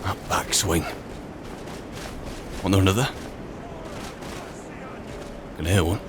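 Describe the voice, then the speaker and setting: A man's voice: low voice, fairly even intonation, unhurried. A man doing in-character roleplay commentary for a video game as a wary adventuring wizard, musing to himself in short phrases. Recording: clear background noise, game ambience.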